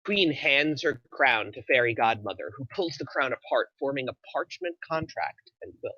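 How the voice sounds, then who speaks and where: A man's voice: medium pitch, expressive, normal speed, pushed and bright, no echo. A man reading scripted stage directions as the narrator of a screenplay table read. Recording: slightly dull, dry recording.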